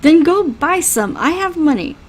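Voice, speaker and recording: Woman voicing a high-pitched, plaintive injured young noblewoman. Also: very animated, moderate pace. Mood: insistent. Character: injured young noblewoman, self-pitying, dramatic, craving whiskey. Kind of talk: visual novel read aloud in character.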